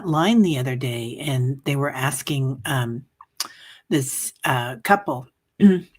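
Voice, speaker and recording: A woman with a strikingly low, husky voice hosts a casual livestream. She rambles warmly through viewer comments with plenty of fillers. A cough lingers, leaving her a little hoarse. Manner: chatty, rambling, many fillers. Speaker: livestream meditation host. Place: home livestream.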